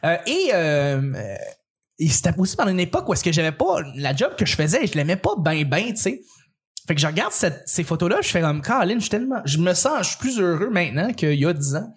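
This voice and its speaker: Upbeat high-voiced Québécois man hosting. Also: very animated, fast, breathy edge. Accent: Québécois French. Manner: upbeat, laughs often, rambling with restarts. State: has a cold. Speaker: podcast host. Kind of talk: casual chat among friends.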